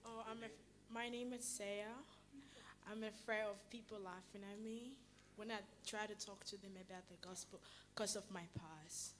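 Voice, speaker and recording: A woman speaks quietly and haltingly into a mic in a large hall. Her pitch is middle, with faint ambience behind. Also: steady tone, a little hiss or hum. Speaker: church member.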